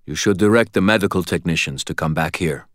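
A man voicing a big, calm young Russian rescuer in an audiobook, with a booming voice and a Russian accent. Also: fairly quick, no room sound, clean studio sound. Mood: calm, polite. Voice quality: deep, booming.

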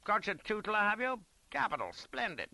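Loud old british general, pompous n muddled, high swoopy blustery shouting, audiobook character. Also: male voice, wildly swooping pitch, normal speed, dry recording, full character voice. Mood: blustering, impatient. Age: older. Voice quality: loud, bawling. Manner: pompous, scatterbrained, clipped upper-class officer bluster. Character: roaring fat old British cavalry general, blustering, impetuous.

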